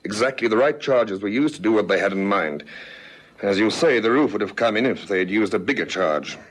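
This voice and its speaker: An actor playing a shrewd, methodical senior police detective, authoritative and thoughtful, in a vintage radio drama. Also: male voice, lowish voice, lively intonation, brisk. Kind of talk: 1960s-70s radio drama acting. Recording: noisy vintage radio recording.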